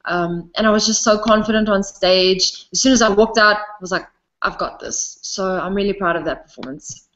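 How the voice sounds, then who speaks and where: A woman's voice: mid-range voice, normal speed. Giggly young South African woman. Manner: giggly, relaxed, often laughs between sentences. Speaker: interviewee, singer. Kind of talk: casual interview chat. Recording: dull lo-fi sound.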